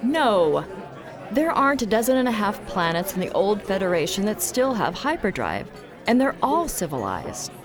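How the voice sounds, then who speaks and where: A woman's voice: medium pitch, big pitch swings, moderate pace, a crowd in the background, natural voice. A woman playing a hard-nosed, commanding military captain in an audio drama, speaking bluntly. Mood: matter-of-fact, scornful.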